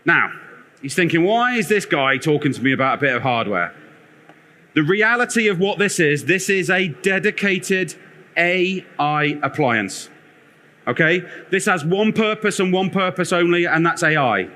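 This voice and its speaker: Loud, bright-voiced man presenting on stage. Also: a little high, normal speed. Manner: loud, energetic, self-deprecating, wanders the stage. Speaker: conference speaker, product lead. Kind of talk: live conference presentation. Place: conference theatre.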